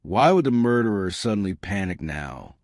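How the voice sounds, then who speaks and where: A man's voice: on the low side, very animated, normal speed, darkish tone, no room sound, studio quality. A man voicing a courteous little male detective in an audiobook mystery, formal, precise and authoritative. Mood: deliberating.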